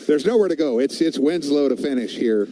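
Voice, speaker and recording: A man's voice: a little high, animated, brisk, warm tone, low-quality recording. A man giving informal, opinionated color commentary on a baseball game in a conversational way. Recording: faint background noise.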